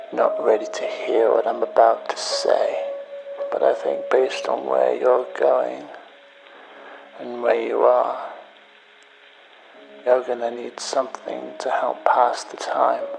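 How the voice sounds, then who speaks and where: Man acting as betrayed man, bitter, cold, accusing, hushed, gravelly fry, unhurried, audio drama monologue. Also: medium pitch, poor audio. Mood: scornful, menacing. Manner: hushed, deliberate, taunting.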